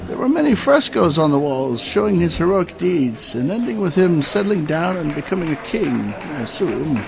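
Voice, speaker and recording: A man's voice: fairly high pitch, very animated, moderate pace, vocal fry, crowd noise. A man playing an ancient, courteous knight who tells long tales in a formal, old-fashioned way in a fantasy audio drama. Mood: reminiscing.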